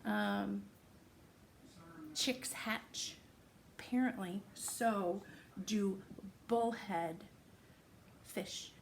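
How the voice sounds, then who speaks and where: A woman reads a picture book aloud to young children like a teacher, pausing to ask them questions and explain words simply. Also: medium pitch, slight background noise. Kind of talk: picture-book read-aloud with chatty asides.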